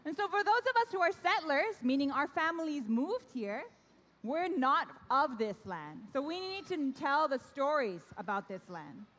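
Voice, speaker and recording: A woman's voice: high-pitched, big pitch swings, moderate pace, fairly bright tone. A young adult woman, a Korean native speaker raised in Canada, hosts an awards ceremony for young readers with upbeat, coaxing call-and-response. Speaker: event host. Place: large hall. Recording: long reverb of a big hall.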